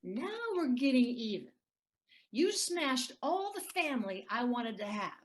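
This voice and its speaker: A woman's voice: a little high, sing-song, unhurried. A woman playing a bitter, hard-drinking ex-wife in an audio play, taunting and sardonic. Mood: bitter, angry.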